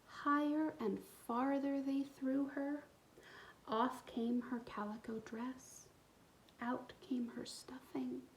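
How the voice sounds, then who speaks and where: A woman reading a picture book aloud to young children in an expressive way, pausing to explain things to them. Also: high voice, slight background noise, rough recording. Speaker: storytime reader for a school community.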